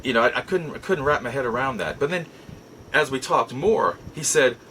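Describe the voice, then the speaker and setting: A man telling a personal story in a casual, halting way, as host of a nostalgia show. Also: mid-range voice, sharp tone, slight background noise, low-quality recording. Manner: halting, repeats and restarts words as he goes.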